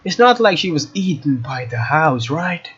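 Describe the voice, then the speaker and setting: High-voiced young man reading a game aloud. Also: very animated, normal speed, a bit bright, slightly rough. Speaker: let's play gamer. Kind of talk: reading a visual novel aloud with casual commentary. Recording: dull lo-fi mic, faint noise.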